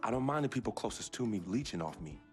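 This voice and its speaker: An earnest young ballplayer. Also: male voice, lowish voice, sing-song, faint music behind. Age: young adult. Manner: earnest, heartfelt, measured. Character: young male basketball star, loyal and earnest. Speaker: game protagonist. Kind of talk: video game cutscene acting.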